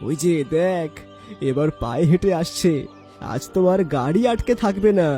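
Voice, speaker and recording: A man's voice: fairly high pitch, lively intonation, unhurried, mellow, faint music behind. An actor playing a crafty, confident young best friend in a cartoon, bossy and teasing as he urges his pal on. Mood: gleeful. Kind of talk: dramatic cartoon voice acting.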